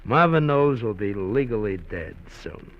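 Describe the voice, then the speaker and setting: An actor playing a menacing, smooth-talking gangster who mocks and threatens with chuckles, in a 1950s radio drama. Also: male voice, fairly low pitch, very animated, normal speed. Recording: dull lo-fi 1950s radio recording with faint hiss.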